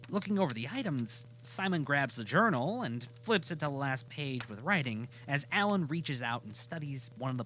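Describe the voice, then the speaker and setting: Animated male audio drama narrator. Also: medium pitch, rough recording.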